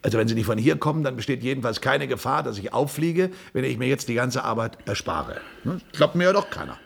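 A man's voice: mid-range voice, lively intonation, quick pace, gravelly, husky. An actor in a comic radio play playing a flustered, stammering astronaut, a fussy civil servant nearing his pension. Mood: conspiratorial. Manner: stammering, hesitant, fussily exasperated.

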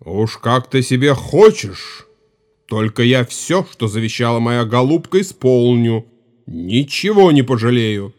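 Man playing slow-spoken, grieving old Cossack chief. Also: mid-range voice, animated, natural voice. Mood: stern command. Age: older. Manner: heavy, solemn, mournful, with hard authority. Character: grieving old Cossack chief, stern, commanding, brooding father. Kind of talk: audio drama acting.

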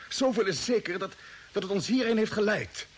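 A man's voice: high voice, animated, normal speed, slight background noise. A man playing a thoughtful, uncertain expedition leader in a vintage radio drama, hesitating and repeating words.

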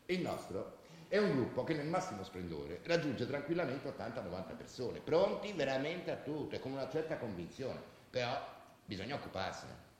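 An actor in a radio drama playing the self-styled thinker of a football gang, explaining things in earnest, emphatic street slang. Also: male voice, mid-range voice, sing-song, normal speed, noisy background, natural voice. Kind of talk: colloquial storytelling, radio drama acting. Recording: very echoey reverberant space.

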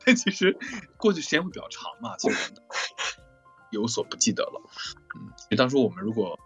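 A man's voice: mid-range voice, sing-song, a little fast, a bit bright, slightly rough. A man hosting a casual question-and-answer chat over an online voice call, often repeating and rephrasing his questions, with music behind him. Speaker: host of a cast chat about an audio drama.

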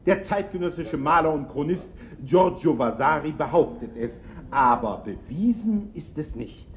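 An actor playing a flustered, pedantic official giving a formal, deliberate lecture in a German radio play. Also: male voice, fairly high pitch, lively intonation, lo-fi sound, slight background noise, lightly acted. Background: crowd in a hall.

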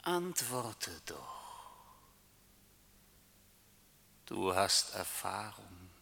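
Slow, forceful, menacing tempter in reverb. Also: male voice, low voice, big pitch swings, gravelly, breathy edge, heavily acted. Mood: taunting, insistent. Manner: rhetorical, slow, deliberate, insistent questioning. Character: menacing, seductive male tempter who taunts his victims. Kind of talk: theatrical German radio drama acting. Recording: long reverberant hall sound.

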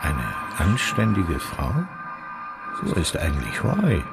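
A man plays a prying party guest who asks insinuating questions. His voice is very low and gravelly, with lively swings in pitch. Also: slow, loud music behind the voice, exaggerated voice.

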